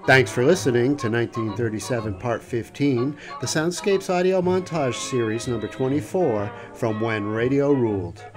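A man's voice: mid-range voice, expressive, normal speed, few pauses. A man reading a scripted narration as a podcast host. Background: music.

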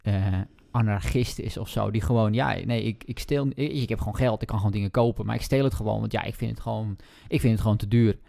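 A man chatting casually about books as a podcast host, speaking haltingly and hedging as he thinks aloud. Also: on the low side, steady tone, vocal fry, dark tone, no room sound, studio quality. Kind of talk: casual book discussion.

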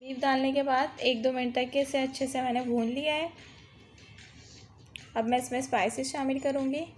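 A woman's voice: high-pitched, steady tone, normal speed, faint music behind. A woman hosting a cooking channel, giving a step-by-step recipe voice-over and guiding viewers through each stage. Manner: instructive, repeats "dekhiye" to guide viewers.

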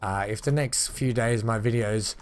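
A slightly hoarse man chatting casually as he plays and narrates a transport-building game, thinking aloud with upbeat asides. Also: fairly low pitch, moderate pace. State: slightly hoarse, losing his voice. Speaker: gaming channel host. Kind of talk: casual let's-play commentary.

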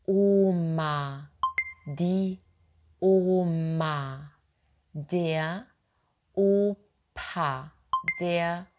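A woman in her thirties with a low voice drills vocabulary at a very slow, careful pace, repeating every word twice. She is recorded on a webcam at home, with a faint hiss under her voice. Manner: says each word twice, slowly and clearly. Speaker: German language teacher. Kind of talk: slow, deliberate pronunciation drill. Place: home room, seated in armchair. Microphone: webcam, head-and-shoulders framing.

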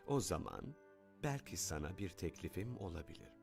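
A man's voice: deep voice, big pitch swings, moderate pace, vocal fry, a little mellow, soft background music, heavily acted. A man voicing a kind, principled, dignified wealthy father in a dubbed children's cartoon. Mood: gentle.

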